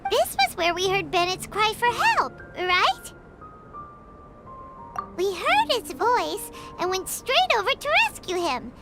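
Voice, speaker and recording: Squeaky, excitable little fairy companion. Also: female voice, slow pace, loud music behind the voice, heavily acted. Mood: puzzled. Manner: exclaiming, childlike, expressive. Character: small excitable fairy-like companion girl, chatty, blunt, refers to herself by name. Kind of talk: video game voice acting.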